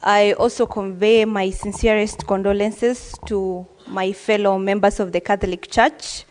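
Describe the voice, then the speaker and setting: A woman's voice: mid-range voice, steady tone, a little slow, darkish tone, in a large hall. A woman giving an earnest, formal speech as a senator, with frequent hesitations. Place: legislative chamber.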